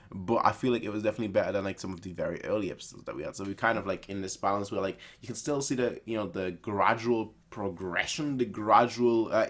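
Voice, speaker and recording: A man's voice: lowish voice, fairly bright tone. A man giving casual reaction commentary in a rambling way, often repeating phrases for emphasis. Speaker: reaction video host.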